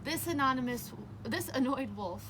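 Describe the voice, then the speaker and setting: A woman reading a children's picture book aloud as a storyteller, with expressive, emphatic narration. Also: high-pitched, normal speed, noisy background.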